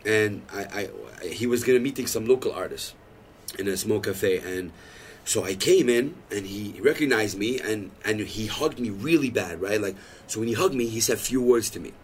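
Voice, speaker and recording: Animated man in his thirties telling stories. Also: lowish voice, moderate pace, slight background noise. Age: 30s. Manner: animated storytelling, acts out quoted lines. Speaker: podcast guest. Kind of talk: casual chat.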